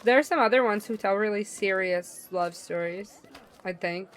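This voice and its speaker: Expressive young woman streamer chatting casually. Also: medium pitch, normal speed. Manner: expressive, slangy. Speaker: game streamer. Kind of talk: casual chat while reading a visual novel.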